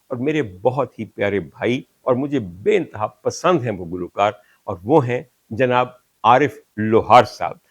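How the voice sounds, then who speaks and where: An older man from Pakistan with a Pakistani Urdu accent, hosting a talk show and reflecting on a poet, sometimes reciting Punjabi verses slowly and with emphasis. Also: medium pitch, husky, dry recording. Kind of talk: reflective monologue.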